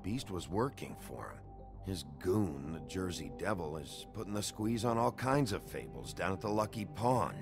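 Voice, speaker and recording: A world-weary noir game sheriff with a low American voice. He is blunt and streetwise, with lively inflection over music. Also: normal speed. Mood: businesslike. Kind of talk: video game character acting. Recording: music behind the voice.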